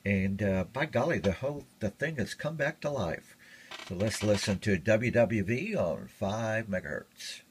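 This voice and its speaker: A man's voice: lowish voice, expressive, measured pace, noisy background. An older man talking in a casual, folksy, plainspoken way as he shows off a radio he repaired. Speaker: radio hobbyist. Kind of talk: casual show-and-tell.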